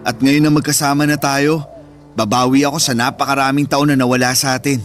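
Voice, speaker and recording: A man's voice: medium pitch, level tone, brisk, a bit bright, faint music behind, lightly acted. A man playing a caring, forgiving young elder brother in a Filipino radio drama, earnest and tender, with a Filipino accent. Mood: tender, moved.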